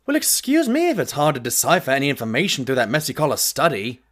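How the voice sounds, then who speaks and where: A man playing an earnest, fussy pony sidekick and chronicler in an audio drama, excitable and easily exasperated. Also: mid-range voice, quick pace. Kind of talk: scripted audio drama acting and narration.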